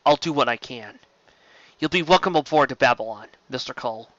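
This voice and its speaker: A man's voice: mid-range voice, lively intonation, brisk, a bit bright, no room sound, muffled recording, natural voice. A man voicing a concerned, authoritative starship captain in a science fiction story. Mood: reassuring.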